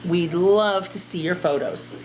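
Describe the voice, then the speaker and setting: A woman teacher reading a storybook aloud and chatting directly to young listeners in an expressive way. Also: fairly low pitch, measured pace, a little hiss or hum, rough recording. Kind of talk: children's storybook read-aloud and chat.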